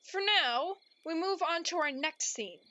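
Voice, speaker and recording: A woman's voice: sits high, animated, a little slow, pushed and bright, no room sound, muffled recording, full character voice. A woman playing an exasperated, gloomy storybook narrator in a radio play, theatrical and stern. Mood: stern, huffy.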